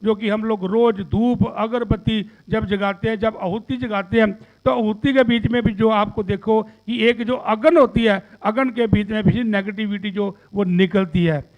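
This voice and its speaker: Older man in his 60s, high-pitched, rambling earnest press appeal, dull lo-fi recording. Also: steady tone, a little slow. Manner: rambling, repeats phrases while making an impassioned appeal. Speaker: social activist and businessman giving a media statement.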